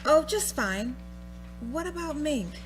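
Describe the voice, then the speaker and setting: Breezy housewife, radio play, music behind. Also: female voice, sits high, expressive, slow pace, poor audio. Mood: friendly. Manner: breezy, gossipy, unconcerned. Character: chatty housewife friend on a telephone call.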